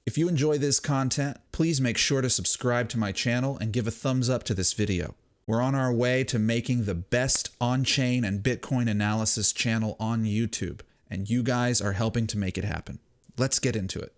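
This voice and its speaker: A hoarse man hosting a market analysis channel, steadily walking viewers through charts in a slightly scratchy voice. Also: medium pitch, moderate pace. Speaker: crypto analysis channel host. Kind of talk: screen-share market analysis talk.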